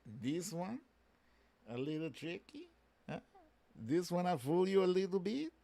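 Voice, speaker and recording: A man's voice: high voice, lively intonation, unhurried, creaky, dark tone. A man hosting a casual live quiz stream, bantering with viewers in an informal, mildly sweary, teasing way.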